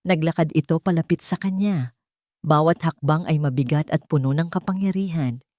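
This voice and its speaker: A woman's voice: deep voice, moderate pace, dark tone, no echo, studio quality. A woman reading a dramatic scripted story as its narrator.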